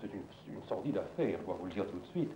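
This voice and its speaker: A man's voice: low-pitched, animated, quick pace, noisy background. A man giving a firm, indignant interview statement, with some fillers. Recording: echoey, dull room recording.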